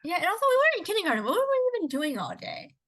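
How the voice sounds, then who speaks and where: A woman's voice: very high-pitched, huge pitch swings, very fast, a bit bright. Chatty young woman podcaster, rambling. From Canada. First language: Cantonese. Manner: chatty, rambling with restarts and asides. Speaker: podcast co-host. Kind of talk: casual chat.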